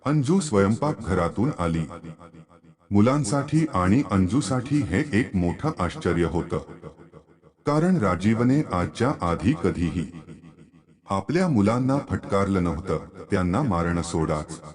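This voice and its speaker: A man's voice: deep voice, darkish tone. A man narrating a scripted story as a storyteller.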